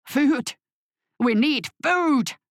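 A woman's voice: on the low side, moderate pace, slightly rough, dry recording, studio quality. A woman doing a ragged, wheezing starving old farmer. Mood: pleading. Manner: shouting, angry and desperate.

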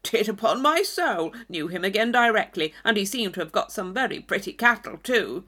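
A woman voicing a brash, boastful young man in an audiobook, pushy, forceful and emphatic. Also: mid-range voice, lively intonation, moderate pace.

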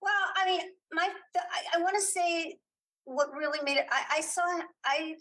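Woman in halting, casual interview chat. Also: very high voice, fairly bright tone. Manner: halting, repeats words and restarts often. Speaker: guest, filmmaker and writer.

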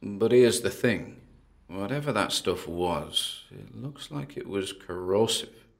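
Casting: male actor for uneasy paranormal investigator in an audio drama, low creaky voice, hesitant found-tape monologue with muttered asides. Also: animated, takes it slowly, full character voice. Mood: wary, descriptive. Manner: hesitant, muttering observations with sighs and trailing asides.

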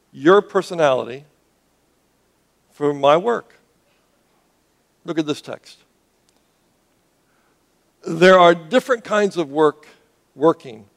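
Male pastor, grew up in Cuba, earnest sermon delivery, slightly high voice, asks the room questions. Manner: earnest, repeats and restarts words, asks the audience questions.

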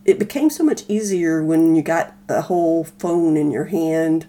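Mellow, low-voiced woman chatting casually. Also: normal speed. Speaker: podcast co-host. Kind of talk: casual chat.

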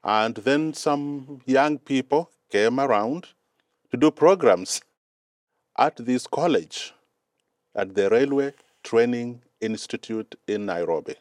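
A man's voice: mid-range voice, takes it slowly, a little mellow, no room sound, clean studio sound. A man from Kenya with a Kenyan accent, speaking as a guest in a conversational interview, earnest and often repeating words as he gathers his thoughts. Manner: earnest, repeats words while finding them. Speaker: guest, mission director.

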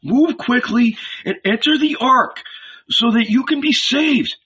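A man voicing an excited, persuasive male shepherd disciple who urgently pleads with a friend. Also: very high-pitched, a little slow, slightly rough, voice pushed up, exaggerated voice. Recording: dull lo-fi recording.

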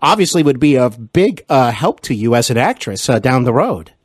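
A middle-aged man with an American accent hosts an interview in a conversational, affirming way. His intonation moves around a lot at an average pitch, and he is recorded dry and close. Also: big pitch swings, normal speed, breathy edge, dry recording. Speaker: interview show host.

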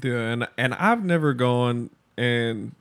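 A man's voice: mid-range voice, expressive, slow pace, a bit bright. A man in his thirties on a podcast, talking casually, bluntly and reflectively, and swearing freely.

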